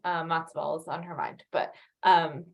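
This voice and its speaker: Woman moderator on a video call, unhurried and measured. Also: low-pitched, steady tone, vocal fry. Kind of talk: moderating an online Q&A.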